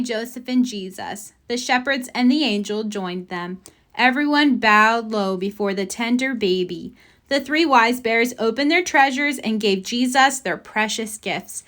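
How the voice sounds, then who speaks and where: A woman's voice: a little high, measured pace, fairly bright tone. A woman reading a children's picture book aloud as a storybook narrator. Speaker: storybook reader.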